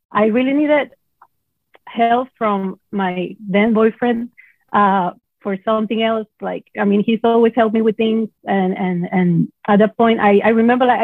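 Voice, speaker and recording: Muffled, hesitant Venezuelan woman, higher-pitched. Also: dry recording. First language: Spanish. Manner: hesitant, halting, self-conscious. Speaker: guest, coaching client. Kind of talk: casual personal chat. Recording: muffled, dry remote-call sound.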